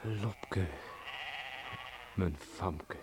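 Low-voiced, kindly young villager. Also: male voice, very slow, heavily acted. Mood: relieved, tender. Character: kindly, reserved young village man, forgiving. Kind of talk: Dutch radio play acting. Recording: noisy old radio drama recording.

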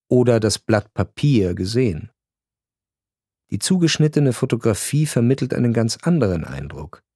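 Male narrator for a radio drama: low voice, dry close studio sound, measured and reflective. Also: a little mellow, no room sound. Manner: measured, reflective storytelling with deliberate pauses.

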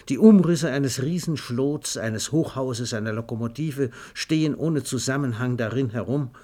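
A man's voice: medium pitch, a little mellow. A man reads a scripted radio story in a theatrical, emphatic storytelling manner. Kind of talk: dramatic scripted storytelling narration.

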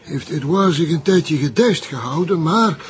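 A man playing a cautious old retired journalist in a TV crime drama, with a Flemish accent and a measured, wry way of talking. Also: fairly high pitch, animated. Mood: discreet, gossiping. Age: older.

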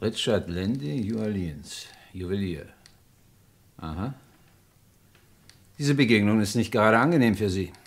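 An actor playing a composed, authoritative police inspector in a radio crime drama, matter-of-fact and commanding. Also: male voice, lowish voice, expressive, a little slow, pauses often. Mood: businesslike. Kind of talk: 1950s-80s German radio crime drama acting.

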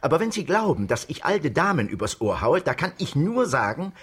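A man's voice: fairly high pitch, big pitch swings, brisk, husky. An actor playing a shifty con man in his fifties in a radio crime comedy, protesting his innocence in a self-pitying whine. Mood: indignant, self-pitying. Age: 50s.

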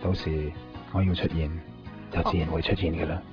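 A man's voice: deep voice, little pitch movement, a little fast, close to an everyday voice. An actor playing a cocky, breezy, mysterious man with a Hong Kong Cantonese accent in a radio drama. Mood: cocky, teasing. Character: cocky, easygoing, mysterious man who finds lost things. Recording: dull 1990s radio with music. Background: music.

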